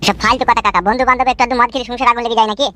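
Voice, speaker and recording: A woman's voice: on the high side, fairly even intonation, racing, sharp tone, no room sound. A woman voicing a cocky young male village layabout in a comedy cartoon. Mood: cajoling. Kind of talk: comic cartoon dialogue.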